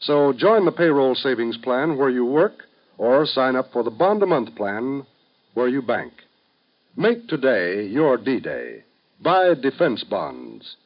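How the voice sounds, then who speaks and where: Old time radio announcer guy, very animated and formal, mid pitch, muffled vintage sound. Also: takes it slowly. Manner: formal, emphatic, declamatory. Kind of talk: 1950s radio announcing, scripted. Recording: dull vintage radio broadcast.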